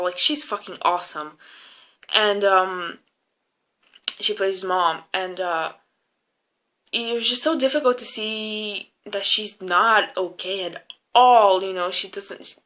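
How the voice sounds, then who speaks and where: A woman's voice: mid-range voice, sharp tone. A young woman giving a casual, opinionated movie review in an informal, gushing way, swearing freely.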